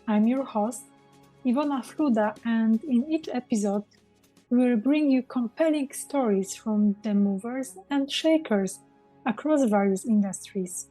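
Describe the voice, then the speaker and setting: A woman hosting a podcast, reading a measured scripted intro and then asking questions. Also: on the high side, warm tone, soft background music, low-quality recording. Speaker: podcast host.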